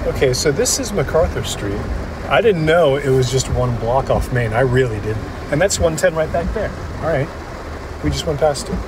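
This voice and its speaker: An actor playing an ordinary man recounting a friend's haunting in a naturalistic documentary interview, halting and conversational, in short phrases with pauses. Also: medium pitch, expressive, background noise, lightly acted. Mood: casual, musing. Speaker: interview subject in an audio drama.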